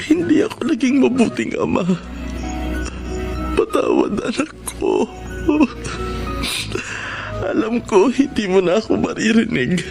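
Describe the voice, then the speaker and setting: Filipino radio drama dad, guilty ex-womanizer, pretty high voice, emotional, music under it. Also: vocal fry, full character voice. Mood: remorseful pleading. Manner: emotional, pleading delivery. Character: grieving, guilt-ridden young father, reformed womanizer.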